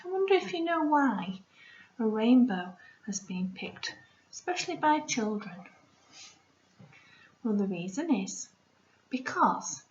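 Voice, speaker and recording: A woman, a primary school teacher, reading a storybook aloud to children in an expressive way, with hushed asides to her listeners. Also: on the high side. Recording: roomy echo, slightly dull sound.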